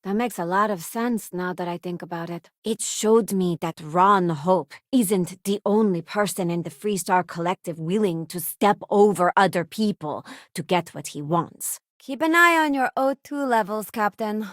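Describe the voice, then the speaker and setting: An actress voicing an earnest, idealistic former deputy turned starship crew member in a video game, sincere and plain-spoken. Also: female voice, mid-range voice, moderate pace, few pauses, no room sound, clean studio sound, close to an everyday voice. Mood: friendly, attentive. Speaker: video game companion character. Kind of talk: acted video game voice lines.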